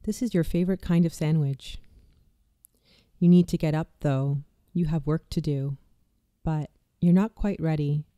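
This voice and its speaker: A meditation guide narrating a guided visualization in a slow, soft, soothing delivery with hushed moments. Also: mid-range voice, smooth, no room sound, studio quality.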